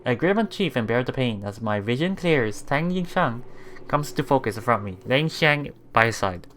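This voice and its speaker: A streamer reading visual novel text aloud in a halting way, adding casual commentary over game music. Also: mid-range voice, lively intonation, fairly bright tone, slight background noise. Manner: halting reading, often repeating broken words. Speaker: let's player streamer.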